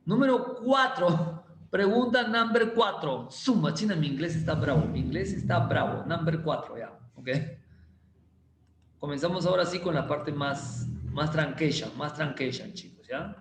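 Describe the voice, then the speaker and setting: A male chemistry teacher, giving a casual, playful class and teasing students as he slowly repeats quiz questions. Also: a little high, slight background noise. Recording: roomy echo.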